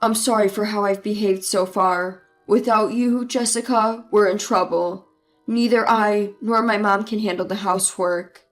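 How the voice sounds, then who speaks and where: A woman's voice: medium pitch, steady tone, a little slow, soft background music, voice pushed down, natural voice. Woman voicing a bullying, selfish husband. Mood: pleading. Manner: blustering, yelling then pleading. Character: bullying adult male husband, selfish mama's boy.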